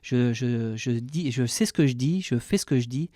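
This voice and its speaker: A man with a French accent from France speaks as a radio guest, frank and personal, often stopping and restarting his sentences. His voice sits at a middle pitch. The studio sound is dry and close. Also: normal speed, mellow, no room sound. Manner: candid, hesitant with frequent restarts. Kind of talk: radio interview answers.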